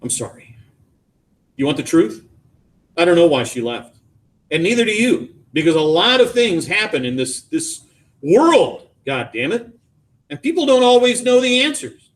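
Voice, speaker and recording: A man voicing an anguished, weary middle-aged father in audiobook dialogue, speaking haltingly and with emphasis. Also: a little high, lightly acted. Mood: angry, despairing.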